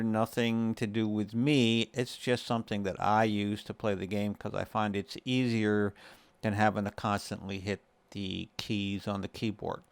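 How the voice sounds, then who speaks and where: A man hosting a gaming guide, narrating a casual tutorial in a measured, explanatory way with short pauses between phrases. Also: on the low side, clear, resonant tone, no echo. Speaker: host of a gaming guide video series.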